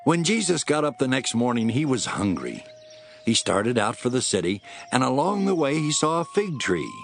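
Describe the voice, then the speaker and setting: A man's voice: mid-range voice, very animated, normal speed, creaky, fairly bright tone, soft background music. A man narrating scripture as a scripted dramatic story.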